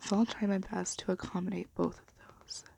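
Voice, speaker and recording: A woman speaking in a gentle, unhurried, hushed and breathy ASMR style, soft-spoken and half whispered, as for bedtime stories. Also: mid-range voice, level tone. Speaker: ASMR video host and storybook reader.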